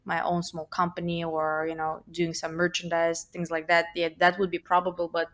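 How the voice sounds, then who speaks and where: A woman's voice: fairly low pitch, monotone, moderate pace, fairly bright tone. A young woman with a slight Russian accent, a guest talking thoughtfully in a casual interview, with many fillers and restarts. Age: young adult.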